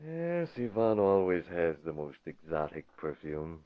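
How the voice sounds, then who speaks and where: An actor playing an urbane, assured newspaper publisher with secrets, in 1940s radio style. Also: male voice, deep voice, sing-song, unhurried. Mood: breezy. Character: suave, secretive newspaper publisher, smoothly in command. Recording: dull vintage radio broadcast, faint noise.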